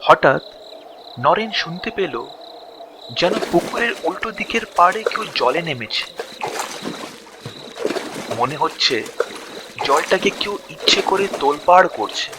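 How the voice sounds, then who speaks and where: A man with a fairly high voice tells a ghost story in a dramatic, scripted style. He takes his time, breaking sentences into short suspenseful phrases. Crickets and night ambience sound clearly behind him. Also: expressive, background noise. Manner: suspenseful, with drawn-out pauses between short phrases.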